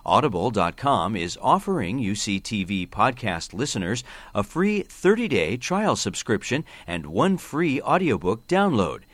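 A man's voice: fairly low pitch, big pitch swings, unhurried, hardly any pauses, dry recording. A man reading a scripted podcast introduction and sponsor message. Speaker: announcer. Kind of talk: read promo announcement.

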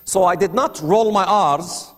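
A man's voice: high-pitched, a little slow, big-room sound. A man telling his personal story to an audience, earnest and full of rhetorical questions. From Palestinian territories. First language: Arabic. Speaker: speaker giving testimony. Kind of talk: personal testimony talk to an audience.

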